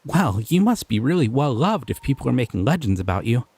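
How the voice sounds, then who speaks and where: A man voicing a gentle, curious male slime creature in an audio roleplay, talking sweetly and bashfully. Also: mid-range voice, very animated, a little fast, slightly rough, no room sound.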